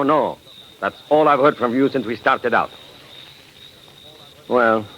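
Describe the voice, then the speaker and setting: An actor playing a chatty, condescending government official of Tsarist Russia in 1940s radio drama, talkative and given to exclamation. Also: male voice, on the low side, natural voice. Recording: dull, noisy old 1940s radio recording.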